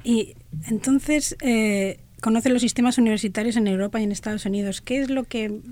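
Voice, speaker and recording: A woman's voice: a little high, normal speed, mellow. A woman hosting a radio interview, reading her welcome and then asking the guest questions. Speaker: radio host.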